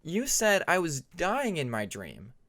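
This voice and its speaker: A man's voice: fairly high pitch, wildly swooping pitch, takes it slowly, a bit bright, voice pushed up, exaggerated voice. A man voicing a worried, earnest male dragon shaken by nightmares, questioning others in a narrated fantasy story.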